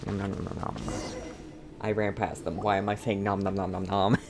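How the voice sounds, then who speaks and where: A man's voice: on the low side, sing-song, unhurried, gravelly, mellow, slight background noise. A man giving casual game stream commentary in a playful, silly, muttering way.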